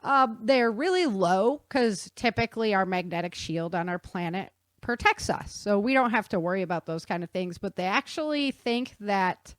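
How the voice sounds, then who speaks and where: A woman's voice: mid-range voice, expressive, moderate pace, few pauses, dry recording. A woman hosting a podcast, explaining things casually in an informal, nerdy-enthusiast way. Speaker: podcast host.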